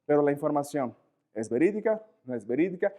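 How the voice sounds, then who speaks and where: Portuguese-accented male lecturer, slightly high voice, lively, pauses to find words. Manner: animated, searching for words, frequent rhetorical questions. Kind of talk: live lecture to students.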